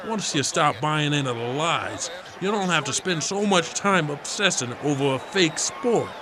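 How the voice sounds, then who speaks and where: A man playing a grumpy, folksy, conspiracy-minded father-in-law in a scripted comedy sketch, gruff and emphatic. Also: a little high, animated, unhurried, crowd noise. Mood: grumpy, smug. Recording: noticeable background noise.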